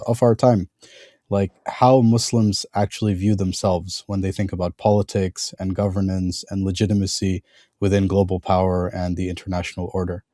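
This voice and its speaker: A male podcast host introducing his guest in a conversational way, halting often with fillers and restarts. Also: low voice, warm tone, no room sound, clean studio sound.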